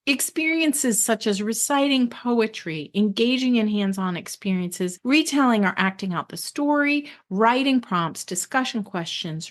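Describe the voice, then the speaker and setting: A middle-aged woman hosting a podcast, reading from prepared notes in an expressive, explanatory way with emphatic stresses. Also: medium pitch. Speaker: podcast host. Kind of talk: scripted solo podcast talk on homeschooling.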